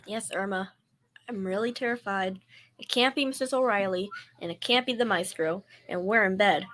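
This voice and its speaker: A woman's voice: mid-range voice, moderate pace, fairly bright tone, a little hiss or hum, natural voice. A young female performer playing a sensible, sarcastic, exasperated roommate in old radio comedy style. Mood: dry, sarcastic. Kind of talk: 1940s radio comedy acting.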